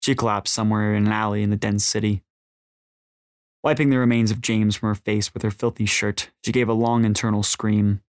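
Male audiobook narrator, low register, scripted storytelling, dry close recording. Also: level tone, fairly quick, no echo.

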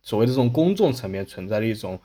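A man's voice: on the low side, sing-song, racing, warm tone. A man hosting a casual podcast discussion, explaining his points through examples. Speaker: podcast host.

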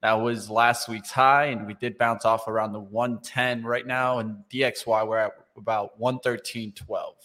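A man's voice: mid-range voice, fairly even intonation, normal speed, hardly any pauses, fairly bright tone. Man in a reverberant room. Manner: casual, hesitant with fillers. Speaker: co-host. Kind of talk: market commentary.

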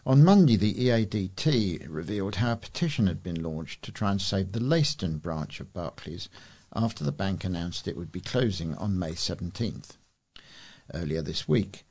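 A man's voice: sits low, darkish tone, husky. A British man reading local news aloud as a volunteer news reader.

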